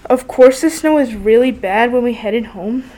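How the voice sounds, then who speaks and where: A woman's voice: fairly high pitch, moderate pace, warm tone, poor audio. A teenage girl, a student, reading written memories aloud in a plain way. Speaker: student reader.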